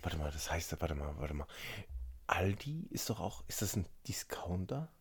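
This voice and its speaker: A man's voice: sits low, big pitch swings, moderate pace, slightly rough. A man co-hosting a casual podcast, joking and laughing often and trailing off mid-sentence. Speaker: podcast co-host. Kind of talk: casual chat.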